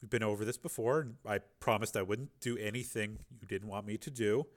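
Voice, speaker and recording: A man voicing an older crime boss in an audiobook, talking softly and calmly to reassure a scared girl. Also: lowish voice, a little fast, natural voice. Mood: soothing. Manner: soft, calm and reassuring. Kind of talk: audiobook character reading.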